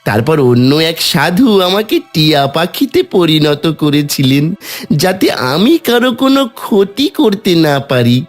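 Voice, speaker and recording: A high-voiced demon king, acted by a man in a cartoon. He is grandiose and scornful, swinging widely in pitch as he taunts, with music running underneath. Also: big pitch swings, a little slow. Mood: scornful, gloating. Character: cursed king turned demon, boastful, scornful, vengeful. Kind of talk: cartoon villain monologue.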